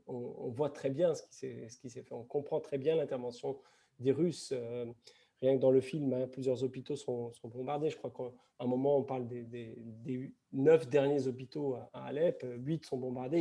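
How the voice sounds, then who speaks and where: A man speaking in a film discussion after a screening, his voice gravelly and very dark. He talks in a reflective, halting way and often restarts his sentences. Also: medium pitch. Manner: halting, many restarts and fillers. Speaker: festival panelist.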